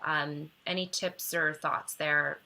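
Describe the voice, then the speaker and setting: A woman's voice: sits low, steady tone, slow pace, gravelly, sharp tone, low-quality recording. A woman co-hosting a talk show, asking questions in a casual interview style.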